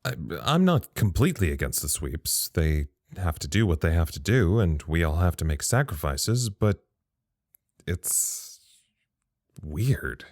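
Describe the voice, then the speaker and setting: A man playing a thoughtful, uneasy log-keeper at a secret research site in an audio drama, measured and confiding. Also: low-pitched, very animated, darkish tone, dry recording, studio quality, heavily acted. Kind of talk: audio drama monologue as a recorded incident log.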